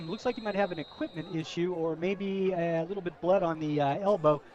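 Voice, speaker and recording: A man's voice: high voice, moderate pace, a little mellow, muffled recording. A man giving informal, opinionated football analysis as a color commentator on a radio broadcast. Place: outdoor high school football game.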